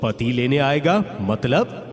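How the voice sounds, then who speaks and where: Village exorcist sage for a cartoon tale, man's voice: fatherly, counselling, expressive pitch swings, music behind. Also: slow. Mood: questioning. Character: calm, fatherly village holy man, exorcist. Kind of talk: Hindi animated moral-story dialogue.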